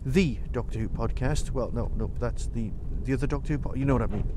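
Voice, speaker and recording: A man's voice: medium pitch, quick pace, noisy background. A man hosting a solo podcast in a casual, rambling way, with wry, self-deprecating asides. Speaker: podcast host and reviewer.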